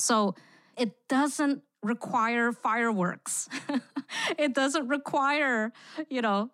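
A woman's voice: mid-range voice, no room sound. A woman raised in Singapore, a writer speaking as a podcast guest in a reflective way, with many "you know" fillers. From born in Indonesia, raised in Singapore. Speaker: guest, immersive experience writer. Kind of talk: podcast interview.

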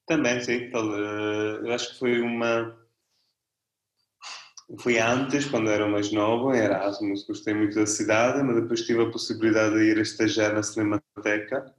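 Italian man, second-language speaker, low flat voice, roomy sound, hesitant. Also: moderate pace. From Italy. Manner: relaxed, hesitant, searching for words. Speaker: festival director. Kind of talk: interview guest.

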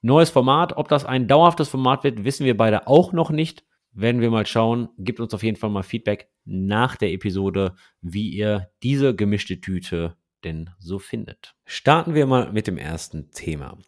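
A German man co-hosting a podcast, chatting casually and telling lively anecdotes. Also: fairly low pitch, normal speed, hardly any pauses. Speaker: podcast co-host.

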